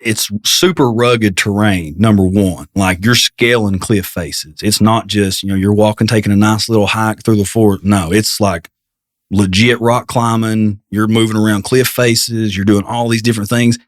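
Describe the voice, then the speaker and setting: Low-voiced man, lively casual talk. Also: normal speed. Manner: animated, fond of "you know" and "like". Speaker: podcast guest. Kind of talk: casual chat.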